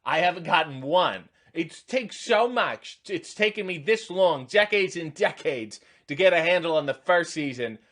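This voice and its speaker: A man's voice: fairly high pitch, animated, normal speed, few pauses, a bit bright. A man hosting a reaction video, giving unscripted running commentary in an exasperated, sarcastic, incredulous way.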